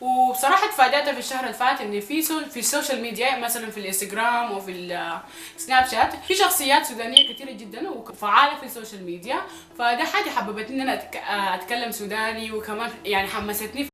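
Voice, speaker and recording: A young Sudanese woman vlogging casually to her viewers, speaking with a Sudanese Arabic accent with some Egyptian traces and a slightly hoarse voice, often repeating phrases for emphasis. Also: a little high, very fast, reverberant, very noisy. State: slightly hoarse from recent illness. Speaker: YouTuber. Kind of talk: casual vlog talk to viewers.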